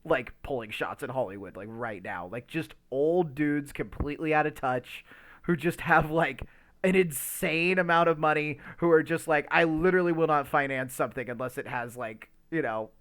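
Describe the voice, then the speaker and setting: A man's voice: fairly high pitch, moderate pace, fairly bright tone. A man co-hosting a podcast in a casual discussion, talking in a rambling, hesitant way with lots of filler words. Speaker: podcast co-host.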